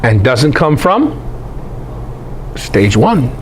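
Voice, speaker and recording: A man's voice: medium pitch, big pitch swings, drawn-out words, background noise. A man giving an informal, irreverent scripture lecture in an emphatic way, often putting rhetorical questions to his listeners. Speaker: Bible teacher, presenter.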